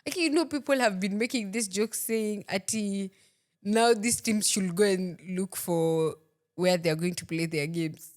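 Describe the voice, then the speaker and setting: A woman's voice: mid-range voice, moderate pace, darkish tone. A woman with a Kenyan accent co-hosting a casual football podcast, playful and giggly, mixing English with Swahili slang. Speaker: podcast co-host.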